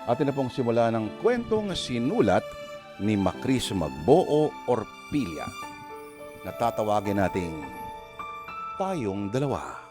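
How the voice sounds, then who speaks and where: Slow, theatrical man narrating radio drama. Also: mid-range voice, big pitch swings. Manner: slow, theatrical, tongue-in-cheek. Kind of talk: dramatic scripted story introduction. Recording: music behind the voice.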